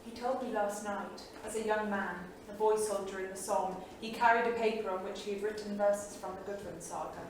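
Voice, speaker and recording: A woman plays an intense, restless wife of the 1930s in a stage drama. She speaks boldly, with lyrical, deliberate phrasing. The voice carries from a distance through a very echoey theatre, over faint noise. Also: mid-range voice, steady tone, natural voice. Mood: tender, wistful. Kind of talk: staged reading, dramatic monologue. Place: small theatre studio.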